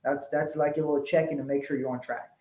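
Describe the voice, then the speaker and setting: Higher-pitched man teaching in a roomy space. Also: little pitch movement, fast talker, a little mellow. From Liberia, West Africa. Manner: explanatory, illustrates points with acted-out dialogue. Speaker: financial educator, webinar presenter. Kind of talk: conversational webinar teaching. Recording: roomy echo.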